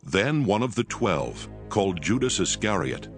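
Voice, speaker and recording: A man with a very low voice narrates scripture from a script. Prominent music runs behind his reading. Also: big pitch swings, measured pace.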